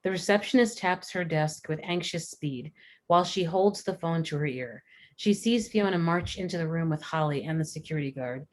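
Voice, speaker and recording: Low-voiced woman reading stage directions. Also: normal speed. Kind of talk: scripted screenplay narration at a table read.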